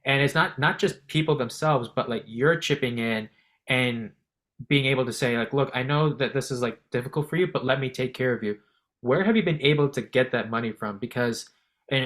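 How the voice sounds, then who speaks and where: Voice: mid-range voice, a bit bright. A podcast host asking long, rambling questions and repeating words while framing them. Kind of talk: casual interview questions.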